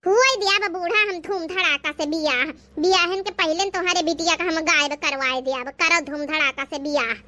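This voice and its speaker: A woman's voice: very high-pitched, a little fast, few pauses, bright, forceful tone. A woman voicing a bossy, scheming young village sister-in-law in a cartoon comedy, chatty and pushy, with an Awadhi accent. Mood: defiant.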